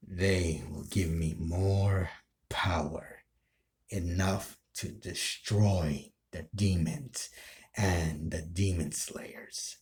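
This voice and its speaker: Man playing a slow, menacing low-voiced anime villain. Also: darkish tone. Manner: slow, deliberate, ominous taunting. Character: menacing male villain, neither human nor demon, craving power. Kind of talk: anime villain role play.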